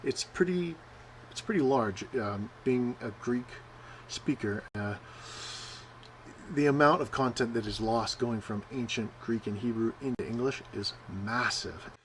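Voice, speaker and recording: A man's voice: medium pitch, measured pace, a little hiss or hum, rough recording. A man giving an informal sermon, rambling with many "uh"s and often asking "right?". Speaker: preacher.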